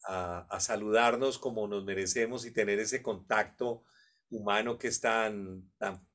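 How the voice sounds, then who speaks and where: Courteous, low-voiced Colombian host. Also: male voice, steady tone, a little slow, fairly bright tone. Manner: courteous, effusive. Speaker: conference host. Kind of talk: formal thanks and praise.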